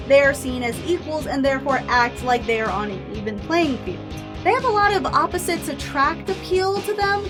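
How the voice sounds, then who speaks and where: A woman hosting a scripted video essay, with an informal, opinionated and playfully emphatic manner. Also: high voice.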